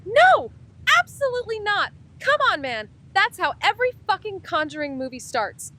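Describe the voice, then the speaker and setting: A woman's voice: very high voice, big pitch swings, normal speed, pushed and bright, heavily acted. An actress playing a skittish, wisecracking female amateur sleuth in a mystery audio drama, blunt, colloquial and sarcastic. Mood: alarmed, exasperated. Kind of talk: neo-noir audio drama dialogue and narration.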